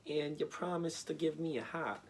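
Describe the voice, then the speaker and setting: A man voicing an indignant tin woodman from a storybook, loud and complaining. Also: on the high side, moderate pace, creaky, a little hiss or hum, voice pushed up. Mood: earnest.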